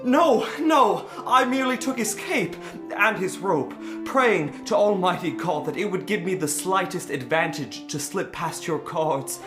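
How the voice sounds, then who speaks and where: A desperate peasant in an audio drama, pleading earnestly. Her voice is on the low side and slow, ringing in an echoing space. Music swells clearly behind her. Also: expressive. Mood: pleading, nervous. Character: desperate, frightened villager pleading with royalty.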